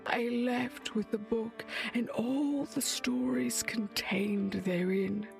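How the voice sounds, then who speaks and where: A woman's voice: on the high side, fairly even intonation, vocal fry, faint music behind. An actress playing a weary, dread-laden mystic witch in an audio drama, speaking in an ominous, theatrical way. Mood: weary, grave. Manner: slow, ominous, theatrical storytelling.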